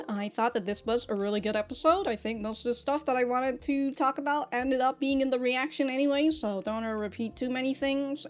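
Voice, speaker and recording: A woman with a fairly high voice hosts a casual reaction review over background music. She talks in loose slang and swears freely. Her manner is mock-indignant and quick to laugh. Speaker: reaction channel host. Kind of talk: casual episode review commentary.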